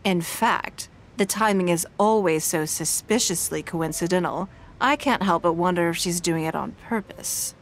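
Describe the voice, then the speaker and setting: A woman voicing a poised, wealthy businesswoman in a video game, speaking in a measured, composed and authoritative way. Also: on the low side, a little hiss or hum, lightly acted.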